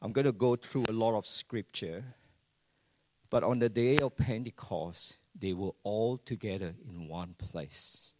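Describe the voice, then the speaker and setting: A man preaching a sermon in English. He swings between hushed lines and loud ones and keeps asking the congregation rhetorical questions. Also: fairly low pitch, a little slow, noisy background. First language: Chinese.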